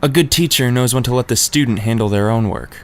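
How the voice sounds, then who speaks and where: A man's voice: medium pitch, fairly quick, a little hiss or hum, lightly acted. A man playing a breezy, boastful young ninja teacher in an audio drama, casual, slangy and teasing. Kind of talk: fan audio drama acting.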